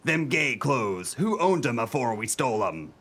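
An actor playing a gruff, peg-legged male pirate in a comic radio play, speaking broad pirate dialect with growled exclamations. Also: medium pitch, big pitch swings, normal speed, fairly bright tone. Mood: suspicious.

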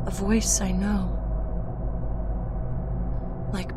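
A woman reading a dark first-person story aloud, slow and hushed, sinking toward a whisper. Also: mid-range voice, level tone, very noisy. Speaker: narrator. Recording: narration over loud music score.

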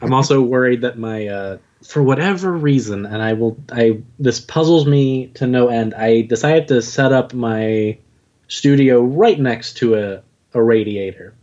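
A man's voice: mid-range voice, unhurried, darkish tone. Male interview guest, mid-range voice, casual conversation, slightly dull home recording. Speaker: podcast guest, audio drama writer. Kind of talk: casual chat. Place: home studio in an old house. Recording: dull lo-fi home studio microphone.

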